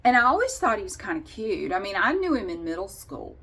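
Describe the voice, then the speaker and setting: A woman's voice: mid-range voice, very animated, brisk, pushed and bright, slightly rough. A woman vlogger casually telling a personal story in a candid, wry and sarcastic way.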